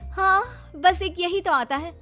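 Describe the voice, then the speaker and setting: Woman acting a plaintive, high-voiced young daughter-in-law. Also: takes it slowly, pushed and bright, heavily acted. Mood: grumbling. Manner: plaintive, complaining. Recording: music under the voice.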